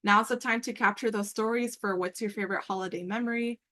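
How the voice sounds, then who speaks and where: Female meeting presenter, medium pitch, conversational and upbeat, explaining step by step on an informal online call. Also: steady tone, fast, pushed and bright. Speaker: meeting presenter and program coordinator. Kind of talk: informal work call presentation with screen walkthrough.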